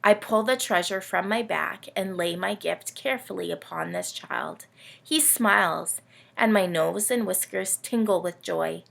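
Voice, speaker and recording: Woman voicing a slow, creaky, self-pitying storybook camel. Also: medium pitch, full character voice. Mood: awed, tender. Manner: theatrical, drawn-out, expressive storybook delivery. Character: self-pitying, melodramatic, vain male camel of the Nativity.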